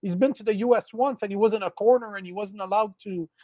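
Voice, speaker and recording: A man's voice: sits high, fast talker, no room sound, muffled recording. A Canadian man with a French accent in a casual political chat, blunt, scornful and full of swearing.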